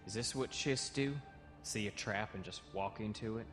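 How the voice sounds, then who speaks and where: A man's voice: fairly low pitch, moderate pace, natural voice. An actor playing a wary young male cadet who explains things in an audio comic drama. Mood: wary, exasperated. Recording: roomy echo, music behind.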